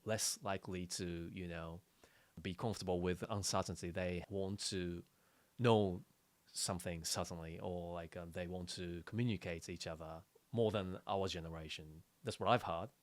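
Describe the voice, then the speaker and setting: Low-voiced man chatting haltingly. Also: steady tone, dry recording. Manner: halting, searching for words, repeats phrases. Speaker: podcast co-host. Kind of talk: casual unscripted chat.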